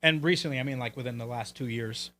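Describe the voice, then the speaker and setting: A man's voice: medium pitch, fast talker, sharp tone. A man from Puerto Rico chatting casually as a talk show host, with loose, profane phrasing. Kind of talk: casual chat.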